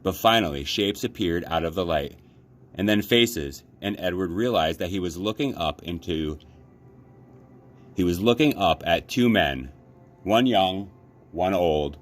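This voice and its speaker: A man reading a children's novel aloud as a library storyteller, with measured, dramatic phrasing. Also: low-pitched, lively intonation. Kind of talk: children's audiobook-style read-aloud. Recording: clear background noise.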